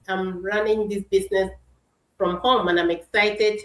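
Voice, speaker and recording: A woman's voice: medium pitch, steady tone, unhurried, roomy sound, rough recording. A woman co-hosting a live online talk show, welcoming viewers with repeated thanks and some hesitant restarts. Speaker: co-host and business mentor.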